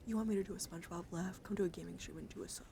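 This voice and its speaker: A woman hosting an ASMR livestream, drifting between whispers and soft, playful, chatty speech as she talks to viewers. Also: lowish voice, very fast. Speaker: livestream host. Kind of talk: ASMR card showcase with casual chat to viewers. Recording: clearly noisy background.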